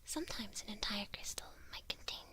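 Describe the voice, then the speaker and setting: A woman playing a kindly witch who gently instructs her apprentice in a breathy, unhurried ASMR whisper. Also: mid-range voice, natural voice. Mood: gentle, instructive.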